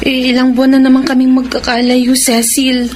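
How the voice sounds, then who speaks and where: An actress with a Filipino accent playing a shy, lovelorn young pawnshop appraiser in a radio drama. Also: female voice, high voice, monotone, fairly quick, a little mellow, slight background noise, close to an everyday voice. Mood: wistful.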